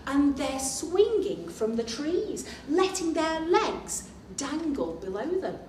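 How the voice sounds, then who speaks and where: A woman reading a children's picture book aloud at story time as a librarian, animated and expressive, pausing for asides and questions to young listeners. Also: fairly high pitch. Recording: echoey room, distant mic, faint noise.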